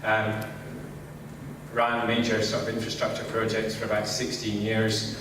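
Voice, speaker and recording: A man's voice: fairly low pitch, a little slow, background noise. Scottish man speaking in an echoey hall. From Scotland. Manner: relaxed, filler-heavy, says "kind of" constantly. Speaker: conference speaker. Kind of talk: conference talk. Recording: echoey hall, distant mic.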